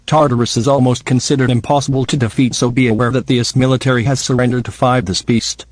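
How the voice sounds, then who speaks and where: A man's voice: mid-range voice, little pitch movement, fast, no echo. A text-to-speech man's voice reading a scripted emergency bulletin in the role of an alert announcer.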